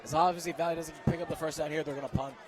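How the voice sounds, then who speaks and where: A young man with a hoarse, strained voice doing excitable live play-by-play commentary outdoors at a football game. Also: on the high side, rapid-fire. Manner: excitable, shouts on big plays. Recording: loud outdoor stadium crowd noise.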